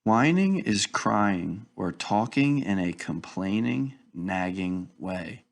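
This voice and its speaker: A man reading a children's picture book aloud in a deliberate, instructive way, as if to young children. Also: on the low side, animated, gravelly. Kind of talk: read-aloud storybook narration.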